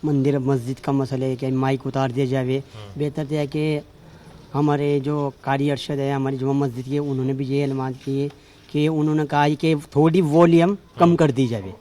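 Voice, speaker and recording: A man's voice: medium pitch, flat delivery, normal speed, mellow. A man with a western Uttar Pradesh accent explaining his view as a local resident in a street interview.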